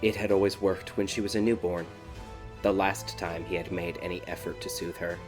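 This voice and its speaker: A man reading a scripted dark fairy tale as a dramatic audiobook narrator. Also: sits low, steady tone, normal speed. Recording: background music behind the voice.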